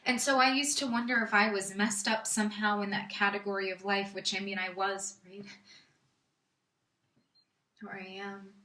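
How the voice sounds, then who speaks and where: Woman acting vulnerable young woman, theatrical confessional monologue, bright voice, halting slow delivery, distant roomy sound. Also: mid-range voice, level tone, natural voice. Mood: hesitant, wounded. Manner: halting, confessional, swinging from hushed murmurs to emphatic bursts. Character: Jen, vulnerable young woman confessing painful intimate memories. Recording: roomy echo, distant microphone.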